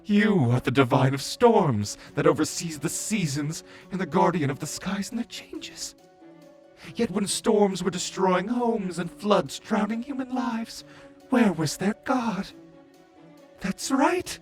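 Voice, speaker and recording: A theatrical, wildly swooping mad zealot. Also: male voice, on the high side. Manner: grandiose, preaching, drawn-out dramatic delivery. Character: mad zealous male servant of a moon goddess, theatrical villain. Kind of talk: scripted fantasy audio roleplay.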